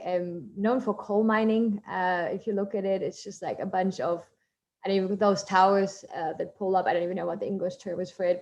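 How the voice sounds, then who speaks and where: A woman with a German accent, a marine scientist giving a chatty online talk with many fillers and asides. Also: medium pitch. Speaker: marine biologist guest speaker.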